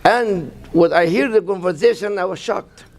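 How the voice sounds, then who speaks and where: Halting older man from Gaza. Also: sits high. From Gaza, Palestine. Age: 60s. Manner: halting, broken English with many restarts. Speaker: imam, guest. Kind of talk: personal account in an interview. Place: mosque event.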